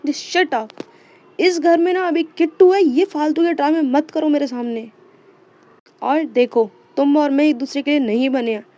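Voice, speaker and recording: A woman's voice: a little high, measured pace, pauses often. Woman voicing a cold, scornful spouse. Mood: shouting. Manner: curt and dismissive, flaring into shouting.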